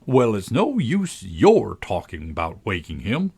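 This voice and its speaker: A man's voice: lowish voice, huge pitch swings, measured pace, vocal fry, a little mellow, breathy edge, exaggerated voice. A man voicing a childish, quarrelsome, blustering twin from a storybook, with a contemptuous manner.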